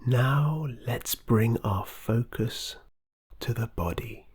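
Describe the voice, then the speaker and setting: Very slow, breathy meditation guide. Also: mid-range voice. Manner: soft, breathy, unhurried with long pauses.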